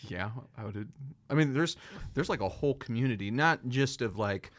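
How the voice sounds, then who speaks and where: A man's voice: medium pitch, lively intonation, normal speed, no room sound, clean studio sound. A man co-hosting a casual podcast, talking in a laid-back, rambling way and laughing often. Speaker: podcast co-host. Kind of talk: casual chat.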